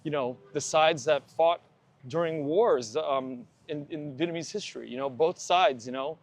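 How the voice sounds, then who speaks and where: Chatty man, slightly high voice. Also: normal speed. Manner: chatty, repeats words, leans on fillers. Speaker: podcast host, guest. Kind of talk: casual conversation while walking. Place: outdoors on a walk.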